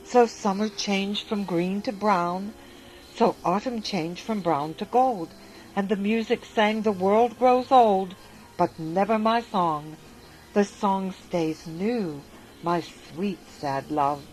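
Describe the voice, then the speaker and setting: A woman narrating a rhyming storybook aloud with a lilting, expressive delivery, with faint music behind. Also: on the low side, low-quality recording. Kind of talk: storybook reading in rhyme.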